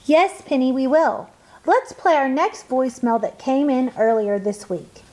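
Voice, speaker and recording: A woman's voice: high-pitched, unhurried, darkish tone. A woman playing a supportive mother who produces her daughters' podcast in a radio play. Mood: reassuring. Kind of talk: scripted radio play acting.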